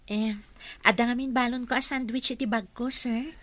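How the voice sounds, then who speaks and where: A woman's voice: a little high, steady tone, moderate pace, a bit bright, no room sound. An actress playing a modest, bashful young female clerk in an Ilocano-accented radio drama. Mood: polite, shy. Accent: Filipino, Ilocano.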